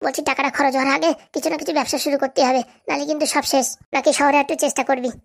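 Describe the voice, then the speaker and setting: A woman's voice: high-pitched, steady tone, very fast, gravelly. A woman voicing a scheming, resentful woman in a comic cartoon, with a grumbling, brazen manner.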